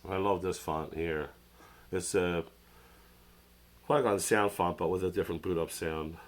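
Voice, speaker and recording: Deep voiced guy with a cold, stuffy and nasal, hems and haws showing off a gadget, faint room hum. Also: steady tone, measured pace. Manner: rambling, hesitant, many fillers and restarts. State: has a cold, sounds nasal. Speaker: product reviewer. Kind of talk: casual show-and-tell product review. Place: at a desk under an overhead camera.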